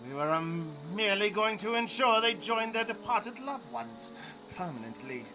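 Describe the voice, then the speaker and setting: A high-voiced male villain played by an actor, treacherous and theatrical, in a radio drama. He taunts with menace. The sound is echoey, with loud music underneath. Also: lively intonation, normal speed. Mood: oily, evasive.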